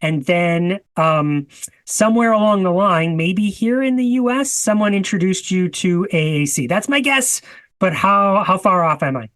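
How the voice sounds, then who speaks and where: A man's voice: high voice, lively intonation, unhurried, a bit bright, dry recording. A man hosting a casual interview, chatty, with many restarts and easy laughter. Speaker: podcast host.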